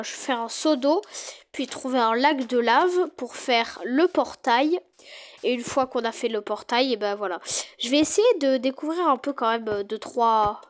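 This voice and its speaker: A woman with a stuffy cold, chatting excitedly to her viewers as she plays and comments on a game. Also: fairly high pitch. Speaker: gaming YouTuber. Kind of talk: casual gameplay commentary.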